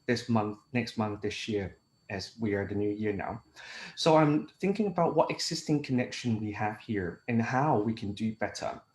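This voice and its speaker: A man giving a conference talk at an even, middle pitch. He pauses often and fills the gaps with "uh" and "um" as he restarts his phrases. The room around him adds a noticeable echo. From Hong Kong. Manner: hesitant, frequent fillers and restarts. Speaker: international technical advisor. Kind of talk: conference presentation.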